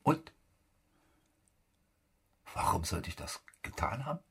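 A cunning young soldier in a radio drama, needling his superior with mock politeness. His husky voice rises and falls freely, always sardonic and insolent. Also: low voice, big pitch swings, slow pace, a bit bright, heavily acted. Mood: coolly provoking. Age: young adult. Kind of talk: German radio play dialogue.

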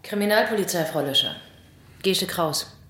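An actress playing a dry, dismissive police detective in a radio drama. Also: female voice, fairly low pitch, animated, normal speed, a bit bright. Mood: dry, official. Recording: very echoey room.

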